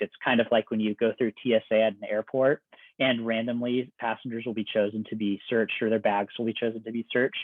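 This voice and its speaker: A man working as an admissions counselor, giving a conversational, step-by-step presentation with everyday examples. His voice is getting a little raspy. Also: medium pitch, no room sound. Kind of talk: informational presentation. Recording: dry, phone-like video call audio.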